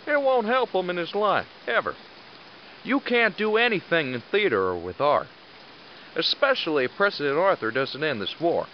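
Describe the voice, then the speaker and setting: Man as animated, blunt military squad leader. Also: on the high side, normal speed, dull audio, a little hiss or hum. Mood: reflective, gruff. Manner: commanding, blunt. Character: blunt middle-aged squad leader, gruffly fatherly and sarcastic. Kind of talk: audio drama acting.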